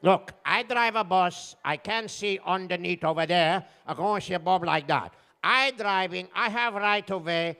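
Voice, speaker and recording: A man's voice: high voice, a little slow, sharp tone, clear, resonant tone, exaggerated voice. A man voicing a hard-of-hearing bus driver, puzzled and stubborn, arguing in broken grammar for a told story. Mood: indignant, puzzled. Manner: broken grammar, bewildered, argumentative.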